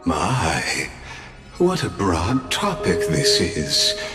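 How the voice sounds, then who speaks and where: An actor playing a grandiose, courteous synthetic scholar in a game, with eloquent, formal and theatrical delivery. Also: male voice, low-pitched, huge pitch swings, very slow, heavily acted. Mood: theatrical, polite. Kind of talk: scripted game dialogue. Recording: roomy echo, music behind.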